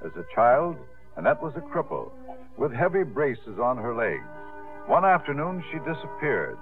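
An actor playing a reminiscing theatre manager, narrating a 1950s radio drama. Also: male voice, mid-range voice, huge pitch swings, normal speed. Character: storytelling former theatre manager, reminiscing warmly. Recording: muffled 1950s radio broadcast over music.

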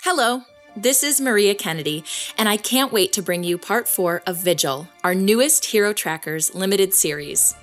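A woman's voice: medium pitch, expressive, normal speed, soft background music, close to an everyday voice. A woman playing an earnest podcast host, narrating a scripted documentary about a secret superhero. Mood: welcoming, eager.

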